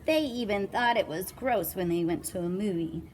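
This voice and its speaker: A woman's voice: medium pitch, moderate pace, slight background noise. A woman reading a children's picture book aloud with playful, dramatic emphasis and little asides. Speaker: read-aloud storyteller.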